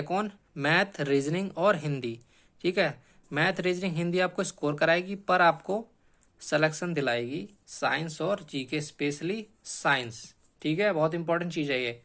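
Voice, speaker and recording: A man's voice: fairly high pitch, measured pace, fairly bright tone. A man explaining exam strategy like a coaching teacher, repeating key points emphatically and often checking in with short tag questions.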